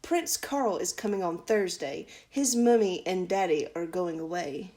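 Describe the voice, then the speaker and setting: A woman's voice: on the low side, measured pace, mellow, natural voice. Fatherly king for a picture book, good-humoured but cautioning, performed by a female reader. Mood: jovial.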